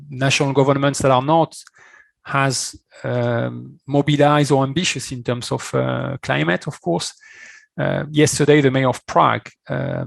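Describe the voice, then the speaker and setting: Man with a gravelly, creaky voice. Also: mid-range voice, no room sound. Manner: hesitant, halting, repeats words. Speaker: conference speaker, climate initiative representative. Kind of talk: spontaneous explanation at an online conference.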